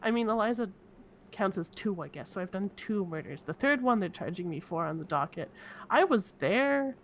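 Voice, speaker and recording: A woman playing a giddy, rambling cultist murderer in improvised online roleplay, with halting, repetitive speech. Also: medium pitch, expressive, a little hiss or hum, close to an everyday voice. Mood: giddy, defensive.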